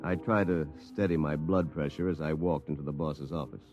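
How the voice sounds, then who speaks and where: An actor narrating a 1950s radio drama as a wry, hapless young lawyer with a dry, deadpan delivery. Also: male voice, extremely low pitch, normal speed, muffled recording. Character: wry, hapless young lawyer telling his own story. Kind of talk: 1950s radio acting, first-person narration. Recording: 1950s radio recording with music behind.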